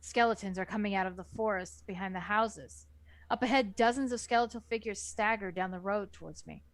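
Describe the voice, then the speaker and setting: A woman's voice: medium pitch, a little fast, dry recording. Dry-sounding woman narrating a story. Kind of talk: scripted first-person story narration.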